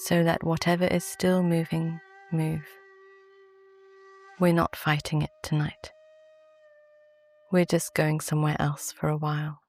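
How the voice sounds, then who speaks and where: A woman with a British accent gently narrates a guided sleep meditation in a soft, calm, soothing voice. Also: low voice, a little slow, long pauses, soft background music.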